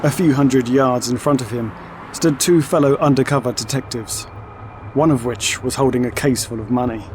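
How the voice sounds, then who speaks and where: A man giving scripted, dramatic narration for an audio story in a measured way. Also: mid-range voice, a little mellow, slight background noise. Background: music and sound effects.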